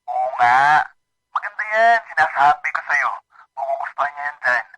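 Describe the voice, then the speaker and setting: Male, Filipino accent, acting as encouraging best pal in radio drama; forward bright tone, casual, a touch high. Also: expressive, moderate pace, poor audio. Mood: upbeat, teasing. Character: upbeat supportive married male buddy, slightly doubtful.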